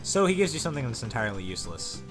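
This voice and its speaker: A man's voice: mid-range voice, big pitch swings, very fast, music in the background. A man bantering as a co-commentator on a game, with dry sarcasm and teasing remarks. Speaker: let's play co-commentator.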